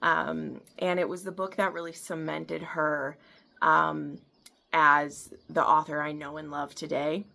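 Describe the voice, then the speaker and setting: A woman's voice: low voice, flat delivery, measured pace, gravelly, pushed and bright. A young woman with a Minnesotan accent, recommending romance books from home in a rambling, chatty way. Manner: chatty and rambling, easily sidetracked. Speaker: book reviewer. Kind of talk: casual chat. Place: home, by a window.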